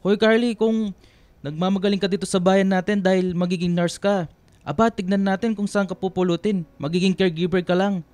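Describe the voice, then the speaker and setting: A man acts out an old Filipino tricycle driver in a radio drama, pitched high for a male. He is cocky and lewd, pushing his advances and turning nasty when refused. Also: steady tone, fairly quick, darkish tone, no echo, natural voice. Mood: angry, insulting. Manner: cocky, pushy flirting that turns nasty. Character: lecherous, boastful old tricycle driver, insulting when spurned.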